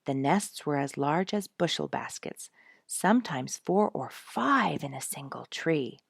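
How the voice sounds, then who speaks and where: A woman's voice: low voice, lively intonation, unhurried, gravelly, breathy edge, no echo, studio quality. A woman storyteller reading a scripted nature narrative aloud.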